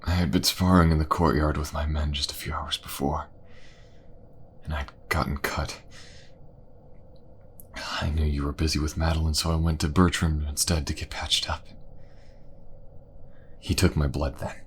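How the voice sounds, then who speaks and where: Fantasy king played by a man, extremely low voice, formal and earnest, devoted father heavy with remorse, noisy backdrop. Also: level tone, normal speed, long pauses. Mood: anguished, remorseful. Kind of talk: fantasy audio drama acting.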